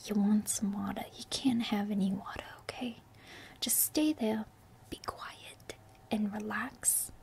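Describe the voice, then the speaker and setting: A woman playing a bossy, teasing young villain's sidekick as an exam assistant, in a breathy, whispered ASMR roleplay. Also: mid-range voice, steady tone, measured pace, darkish tone, slight background noise. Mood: teasing, soothing. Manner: coy, scolding, teasing.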